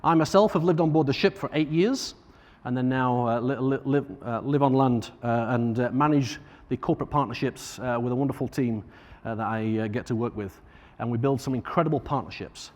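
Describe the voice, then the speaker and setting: Male keynote speaker, Northern English accent, medium pitch, informal halting delivery, echoing large-room sound. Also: darkish tone. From Northern England. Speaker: charity corporate partnerships manager giving a keynote. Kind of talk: conference keynote speech to an audience. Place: large conference room, on stage. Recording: echoey hall reverb.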